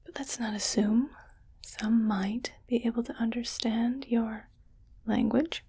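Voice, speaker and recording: A rich alien lady acted by a woman speaks in an airy, hushed whisper for an ASMR roleplay. Her delivery is slow and deliberate, with a lightly raised pitch. She sounds detached and curious, talking down to her human pet with cool condescension. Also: steady tone, full character voice. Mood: condescending, mildly disgusted. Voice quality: breathy whisper.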